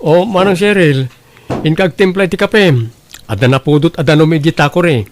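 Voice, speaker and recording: A man plays a young Ilocano farmer, the earnest and humble son of an audio drama. His voice sits a little high, and he speaks with open feeling. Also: fairly quick, no room sound, lightly acted. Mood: remorseful.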